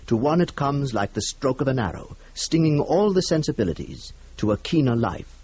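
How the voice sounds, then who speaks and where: A man's voice: mid-range voice, sing-song, moderate pace, darkish tone, slightly rough. A man giving a formal literary introduction as a radio drama announcer, in a solemn, theatrical recitation.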